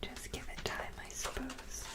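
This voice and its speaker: A woman doing ASMR, reading and commenting in a soft, breathy whisper. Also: unhurried. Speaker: ASMR video creator.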